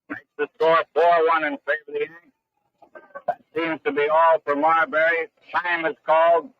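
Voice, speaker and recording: A man calling baseball play-by-play in 1930s radio style, with measured, clipped descriptions, on an old radio broadcast recording. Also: a little high, dry recording, dull audio. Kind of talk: 1930s radio sports commentary.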